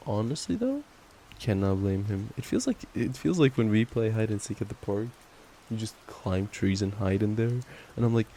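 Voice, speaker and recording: A man's voice: fairly low pitch, animated, normal speed, mellow. A young man doing an affectionate, playful ASMR boyfriend roleplay, reading a bedtime story with stumbles and giggly asides. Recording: noisy track with ambient rain sounds. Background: rain ambience.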